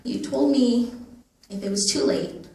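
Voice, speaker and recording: A young woman performing spoken-word poetry in an earnest, dramatic manner with drawn-out phrases. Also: fairly high pitch, a little mellow. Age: young adult. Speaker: poet. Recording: echoey room, distant microphone.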